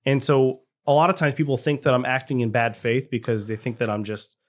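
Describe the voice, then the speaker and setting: Guy cohosting a podcast, talks quick but stays calm, dry little jokes. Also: mid-range voice. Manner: calm, measured, dry joking. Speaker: podcast co-host. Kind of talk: casual podcast chat.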